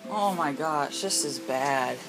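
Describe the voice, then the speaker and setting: An excitable amateur storm watcher filming and commenting on the weather in exclamations, often repeating words for emphasis. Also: medium pitch, slow, loud music behind the voice, rough recording. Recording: home video with rain noise behind. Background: heavy rain.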